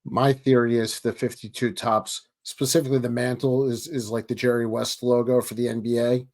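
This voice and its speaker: A man's voice: medium pitch, flat delivery, moderate pace, darkish tone. A man in his thirties with a Baltimore accent, hosting a casual interview over a video call from a home office, jokey and chatty, stumbling over his words now and then. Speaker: host. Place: home office with framed pictures. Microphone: webcam video call.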